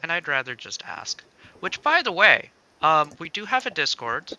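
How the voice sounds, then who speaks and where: A man's voice: on the high side, big pitch swings, moderate pace, pushed and bright, rough recording. A man chatting casually as he co-hosts a demo livestream as a game developer.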